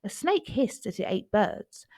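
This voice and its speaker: A woman narrating a children's picture book in an expressive storytelling style. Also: medium pitch, measured pace, no room sound, clean studio sound.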